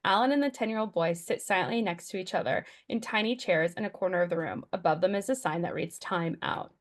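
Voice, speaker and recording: A woman's voice: on the low side, fast. A woman narrating stage directions from a script at a table read.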